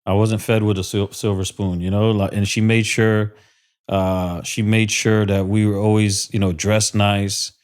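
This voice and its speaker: A man's voice: fairly low pitch, level tone, a little slow. A man from South Florida, a podcast guest, talking earnestly and emotionally with many fillers and restarts. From South Florida, USA. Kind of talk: casual interview talk.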